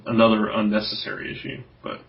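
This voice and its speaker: Lowish-voiced man chatting, lo-fi podcast sound. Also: monotone, quick pace. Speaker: comics podcast co-host. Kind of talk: casual chat, opinions on comics. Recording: dull lo-fi podcast audio.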